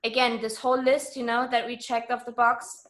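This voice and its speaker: Emphatic woman with a high-pitched voice. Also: little pitch movement, normal speed, fairly bright tone. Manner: emphatic, self-assured, informal. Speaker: interview guest, entrepreneur. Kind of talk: casual conversational interview.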